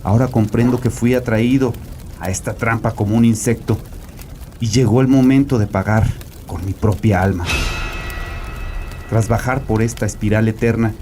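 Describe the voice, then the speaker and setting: An actor playing a tormented, guilt-ridden religious father, narrating a horror audio drama gravely and deliberately. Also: on the low side, steady tone, noisy background, close to an everyday voice. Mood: desperate, doomed. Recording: voice over prominent music.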